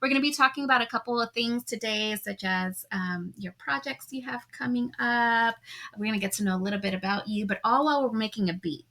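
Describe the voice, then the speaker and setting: A woman's voice: a little high, a little fast, pushed and bright. A woman hosting a casual show, chatting with her guest while she builds a beat, upbeat and full of hype. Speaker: show host and beat producer. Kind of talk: casual hosted chat while making a beat.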